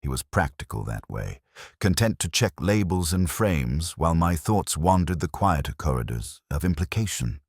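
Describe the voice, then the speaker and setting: A man narrating a scripted first-person detective story in a measured, reflective, literary manner. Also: low voice, sing-song, breathy edge, no echo, studio quality.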